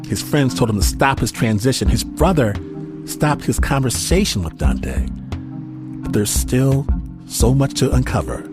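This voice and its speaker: A man's voice: mid-range voice, animated, measured pace. A man hosting a radio storytelling show, reading a dramatic scripted recap. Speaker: show host. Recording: strong music bed. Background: music.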